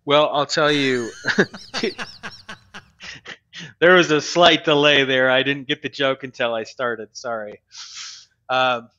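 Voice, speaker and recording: A man in his forties, a podcast guest, talking slowly and reflectively with many pauses and ums over a video call. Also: mid-range voice, steady tone, bright, forceful tone, lo-fi sound. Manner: slow and reflective, many ums, laughs easily. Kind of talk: casual interview talk. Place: home room. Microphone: studio mic on video call with headphones.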